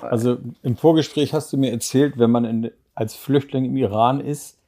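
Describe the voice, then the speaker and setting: A man's voice: mid-range voice, steady tone, moderate pace, dark tone. A man hosting a podcast interview who draws out his guest with questions and fills in answers. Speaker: podcast host. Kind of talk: conversational interview.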